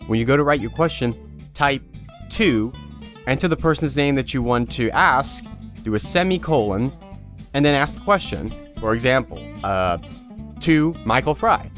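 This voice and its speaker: A man's voice: a little high, fairly bright tone. A man speaking informally and directly to viewers as an online creator, explaining guidelines in a casual video announcement.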